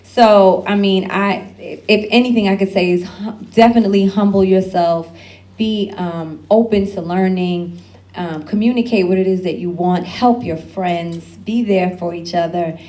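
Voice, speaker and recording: A woman's voice: medium pitch, steady tone, measured pace, mellow. A woman speaking on an alumni panel, giving candid advice with loose asides and frequent laughs.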